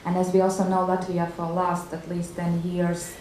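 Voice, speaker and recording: A woman's voice: fairly low pitch, completely flat delivery, normal speed, a little mellow. A woman moderating a live discussion with a probing, insistent manner. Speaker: panel moderator. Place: indoor panel discussion room. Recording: very echoey room, faint noise.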